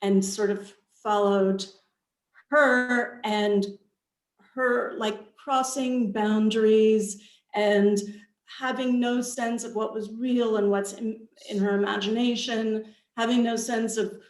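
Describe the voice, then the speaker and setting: A woman answers as a guest author on a panel over a video call. She speaks slowly and thoughtfully, often repeating a phrase as she goes. The sound is roomy, with clear echo around her voice. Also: fairly high pitch, fairly even intonation. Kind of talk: panel interview answers about own book.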